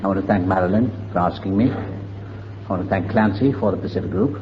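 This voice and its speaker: A man's voice: sits low, fairly quick, highs cut off, heavy background noise. An older man with a Welsh accent, candidly telling his recovery story to a meeting in a reflective, wry way. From Wales. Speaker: AA meeting speaker.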